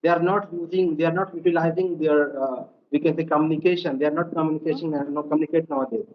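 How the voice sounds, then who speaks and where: A man's voice: a little high, steady tone, fast talker, lo-fi sound. Hesitant man searching for words. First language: Hindi or Urdu. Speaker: learner, guest.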